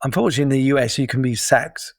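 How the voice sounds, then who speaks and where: A man's voice: medium pitch, racing, no room sound, studio quality. A middle-aged man with a British accent, a guest explaining his ideas in a thoughtful way, with small restarts. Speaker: guest, digital detox coach. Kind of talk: casual interview talk.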